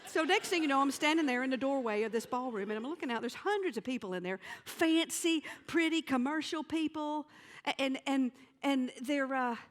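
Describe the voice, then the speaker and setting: A woman with a Southern US accent telling comic stories on stage in a folksy, dramatic manner with pauses for effect. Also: high-pitched. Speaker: comedian and storyteller. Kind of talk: theatrical comic storytelling to an audience. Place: theater stage.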